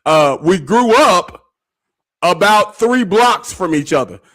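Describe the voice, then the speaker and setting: A man's voice: high-pitched, lively intonation, takes it slowly, fairly bright tone. A man, co-hosting a casual sports chat in a chatty, repetitive way. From Arkansas. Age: 40s. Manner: chatty, repeats himself, laughs easily. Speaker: co-host.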